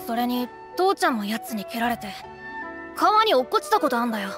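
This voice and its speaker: A woman voicing a brash, stubborn young village boy in a video game, with rough, defiant speech. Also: fairly high pitch, big pitch swings, brisk. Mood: boastful. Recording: background music under the voice.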